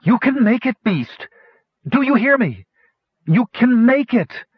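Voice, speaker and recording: A man voicing a fierce, resolute warrior woman in a storybook, calling out forcefully and urgently. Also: very high-pitched, big pitch swings, takes it slowly, husky, dry recording, dull audio, pitched above the performer's own voice, exaggerated voice. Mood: urgent shouting.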